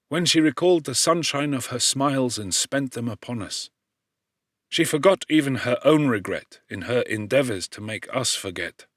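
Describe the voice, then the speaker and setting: A man narrates classic literary fiction with a mid-range voice. His delivery is solemn and measured, like careful storytelling from a script. The recording is dry, with no room sound. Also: medium pitch, dry recording. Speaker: audiobook reader.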